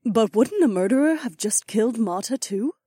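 A man putting on a husky, firm young woman. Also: extremely high pitch, lively intonation, normal speed, dark tone, no room sound, studio quality, pitched above the performer's own voice. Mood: wry. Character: determined young woman, wry. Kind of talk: audiobook character voice.

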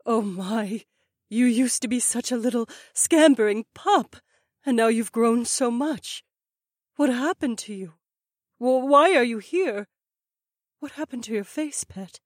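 A man voicing a scheming, haughty noblewoman in a high falsetto. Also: measured pace, no echo, clean studio sound. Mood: cooing. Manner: cooing then screaming in high falsetto. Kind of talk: audiobook character voice.

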